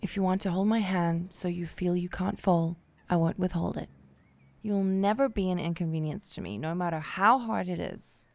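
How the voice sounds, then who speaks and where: A woman playing a gentle, supportive middle-aged mother, speaking tenderly and reassuringly in an audio drama. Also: fairly low pitch, moderate pace, creaky.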